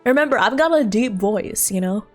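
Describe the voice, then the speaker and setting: A young woman chatting casually with her stream viewers while playing a game, laughing often and thinking out loud. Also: on the high side, expressive, moderate pace. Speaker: livestreamer. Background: faint game music.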